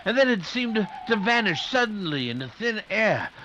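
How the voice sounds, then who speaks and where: Man playing a high-voiced, flustered detective's sidekick. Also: big pitch swings, moderate pace, sharp tone, heavily acted. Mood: puzzled. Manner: hesitant, blustering, stammering. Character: flustered, excitable male companion of a detective. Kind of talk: 1940s radio mystery acting. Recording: dull, clearly noisy live recording.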